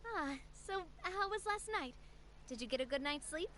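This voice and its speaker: An actress playing a gracious, kindly young woman leader in a video game, upbeat and expressive. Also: high voice, moderate pace, background noise. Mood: friendly.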